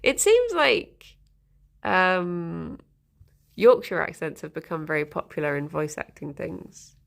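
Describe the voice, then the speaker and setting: Chatty woman with vocal fry. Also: fairly low pitch, expressive, takes it slowly. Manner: chatty and rambling, laughs often. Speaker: streamer reading chat. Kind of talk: casual live-stream chat.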